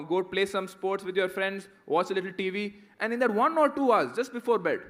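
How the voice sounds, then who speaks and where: A man's voice: sits high, fairly bright tone. A man around thirty, a guest speaker answering student questions in a conversational way, often tagging "right?". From India. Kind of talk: motivational talk and Q&A.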